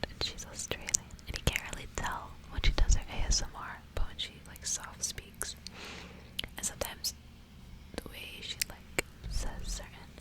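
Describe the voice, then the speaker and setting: Whispering woman, ASMR host. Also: rough recording. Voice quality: breathy whisper. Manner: casual, rambling. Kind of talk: whispered ASMR chat and book reading.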